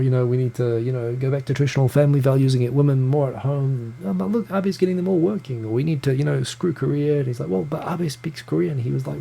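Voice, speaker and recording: Gravelly New Zealand man, live chat. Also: medium pitch, warm tone. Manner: chatty, stumbling restarts, greets viewers by name. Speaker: live show host reading viewer comments. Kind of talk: casual live-stream chat. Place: home room in Tokyo.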